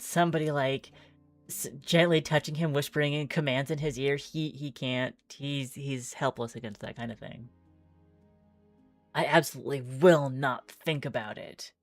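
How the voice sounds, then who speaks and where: A woman's voice: deep voice, dry recording. A woman streamer doing chatty game playthrough commentary, reading text aloud and musing as she goes.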